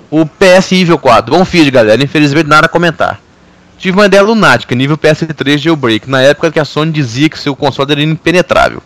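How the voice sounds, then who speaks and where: A man's voice: a little high, a bit bright. A Brazilian man hosting a gaming podcast, rambling with hesitant restarts in casual chat. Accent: Brazilian Portuguese. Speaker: podcast host.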